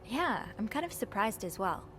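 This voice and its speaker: Earnest, curious young maid. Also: female voice, mid-range voice, sing-song, normal speed, a bit bright, slight background noise. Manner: earnest, thoughtful, polite. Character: earnest, curious young hotel maid sleuthing in 1950s mystery game. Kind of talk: conversational video game acting.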